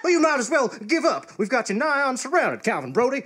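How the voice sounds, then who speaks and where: An actor playing a stern, blustering small-town lawman type with a husky, folksy delivery in a comedy radio play. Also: very high-pitched, big pitch swings, a little fast, music in the background. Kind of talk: live comedy radio theatre acting.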